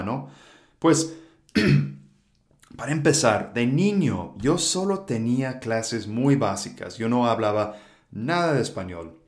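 Unhurried low-voiced man, echoey. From United States. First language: English. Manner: relaxed, thinking aloud with fillers. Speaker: podcast host. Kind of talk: solo podcast monologue for learners.

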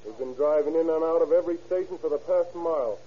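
A man's voice: on the high side, close to an everyday voice. A man playing a folksy patrol cop, tinny radio. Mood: sheepish. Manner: brisk, folksy. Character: male police officer in a patrol car, suspicious and eager. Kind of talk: 1930s radio drama acting. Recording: tinny, hissy old radio recording.

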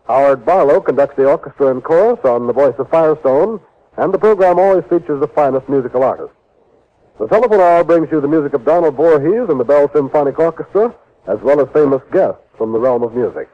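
An American man announces in classic fifties radio style. His voice is fairly high and very animated, with polished, formal phrasing. The vintage recording sounds muffled. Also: fast. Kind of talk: 1950s radio announcing, scripted promos and credits.

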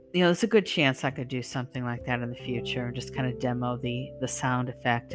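A woman singer and channel host, hoarse and losing her voice, talking casually and rambling with many fillers and restarts. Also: extremely low pitch. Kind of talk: casual spoken monologue to listeners.

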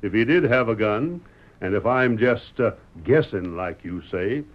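A man's voice: on the low side, animated, measured pace. An actor playing a stubborn middle-aged frontier father in a radio western, with a wry, folksy Western American drawl. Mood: wry, defiant. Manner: folksy, sly, drawling needling. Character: stubborn, wry Old West father, sheriff candidate. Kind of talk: old-time radio western acting. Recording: dull, muffled old radio recording.

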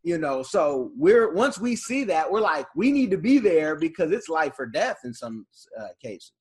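A man's voice: on the high side, sing-song, normal speed, husky. A man hosting a casual conversation, telling stories and laughing between remarks. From United States.

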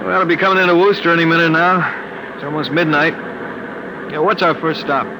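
An actor playing a folksy, excitable armored truck guard in a classic radio drama, talking in exclamations and slang. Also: male voice, on the high side, fast, lightly acted. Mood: casual griping. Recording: noisy old radio recording.

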